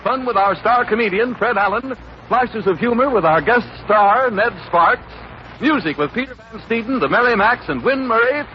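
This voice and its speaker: A man's voice: very high voice, lively intonation, moderate pace. An American man announcing and bantering on a 1930s radio variety show, with a hearty, theatrical delivery. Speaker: radio announcer and comic sidekick. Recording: scratchy, muffled 1930s radio recording.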